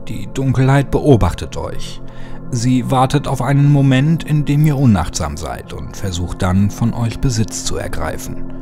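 A man reading scripted horror audiobook narration as the storyteller. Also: lowish voice. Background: music.

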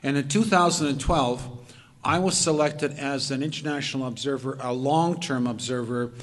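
An older man giving a measured, methodical talk from a podium in a community hall. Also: mid-range voice. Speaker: presenter. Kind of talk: lecture presentation. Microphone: podium microphone, far camera. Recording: long reverb of a hall.

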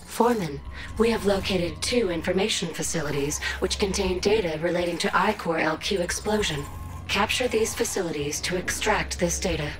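A woman voicing a cold alien AI announcer in a strategy game, giving terse, measured, commanding status reports. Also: on the low side, close to an everyday voice, poor audio. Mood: impersonal, commanding. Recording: loud game music over voice.

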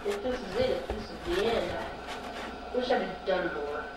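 A man playing a gloomy, resigned dead man in a halting, downbeat drama dialogue. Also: high-pitched, expressive. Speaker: amateur actor in a hobby film. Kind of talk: slow improvised-sounding drama dialogue. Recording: noisy, echoey, distant homemade tape sound.

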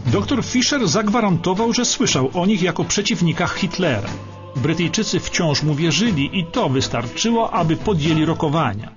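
A man narrating a scripted historical radio documentary with emphatic, suspenseful storytelling. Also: fairly high pitch. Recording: dull radio sound over music bed.

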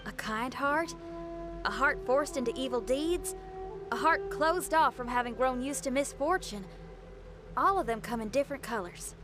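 A woman's voice: high voice, expressive, normal speed, over music. A woman voicing a confident, friendly young rock musician in a video game, with a folksy, assured way of talking. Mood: assured, inviting. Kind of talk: video game character acting.

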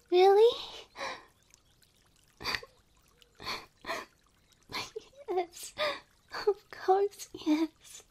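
A woman voicing a gentle, devoted, insecure cow girl girlfriend in soft, halting, intimate ASMR roleplay, often whispering. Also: very high voice, highs cut off, exaggerated voice. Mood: overjoyed, flustered.

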